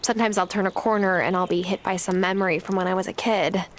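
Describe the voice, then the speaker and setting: A woman playing a caring young newcomer to an island farm in a video game, gentle and reflective. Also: medium pitch, steady tone, fast talker, slight background noise, close to an everyday voice. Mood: wistful.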